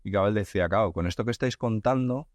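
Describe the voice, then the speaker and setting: A man's voice: on the low side, quick pace, no room sound. A man hosts a podcast conversation. He thinks aloud, often breaking off and restarting his phrases. The sound is dry and close. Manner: thinks aloud, frequent restarts and repeated words. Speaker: podcast host. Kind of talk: conversational interview.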